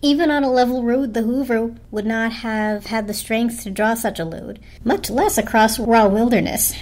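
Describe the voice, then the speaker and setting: A woman's voice: a little high, fairly even intonation, moderate pace, a little mellow. A woman narrating a children's story as a dramatic storybook reading. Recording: music behind the voice. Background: music.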